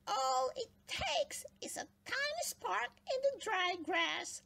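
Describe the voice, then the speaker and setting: A woman voicing small, excitable gnomes and animals from a storybook, exclaiming urgently. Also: extremely high pitch, slow pace, creaky, voice pushed up, full character voice. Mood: worried.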